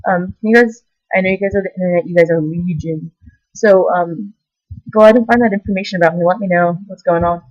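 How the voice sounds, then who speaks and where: A woman's voice: fairly low pitch, fast, a little mellow, no echo, dull audio. A young woman vlogging to her camera, rambling loosely with lots of fillers and bursts of enthusiasm. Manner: meandering, filler-heavy, giggly enthusiasm. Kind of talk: rambling casual vlog. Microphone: camera mic.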